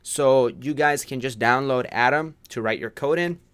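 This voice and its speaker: A man's voice: mid-range voice, a bit bright, clean tone. Chatty young man hosting a livestream. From Pakistan. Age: 20s. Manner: laughs often, quick and chatty. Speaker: programming livestream host. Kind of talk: casual livestream chat and coding tips.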